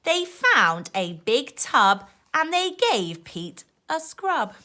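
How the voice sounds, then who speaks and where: A woman teacher reading a rhyming picture book to young children in an expressive, sing-song way, with asides to her listeners. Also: high voice, slow. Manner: animated, emphatic, sing-song rhyming delivery.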